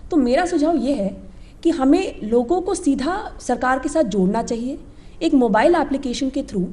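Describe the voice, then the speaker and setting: High, mellow-voiced woman presenting. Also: lively intonation, normal speed. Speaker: civil servant giving a presentation. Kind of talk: formal presentation.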